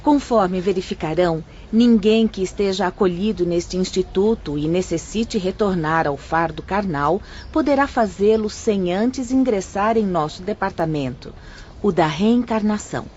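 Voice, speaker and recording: A woman's voice: medium pitch, normal speed, close to an everyday voice. Rosália, a woman playing a kindly spirit teacher. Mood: patient. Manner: calm, kindly, explanatory. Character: gentle female spirit instructor Rosália. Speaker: instructor guiding new apprentices. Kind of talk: audio drama acting. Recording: slightly dull, faint background hiss.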